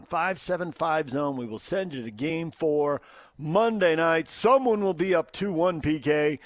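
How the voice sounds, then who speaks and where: A man's voice: on the high side, no room sound, studio quality. A man working as a sports radio host, moving between conversational talk and emphatic station announcing.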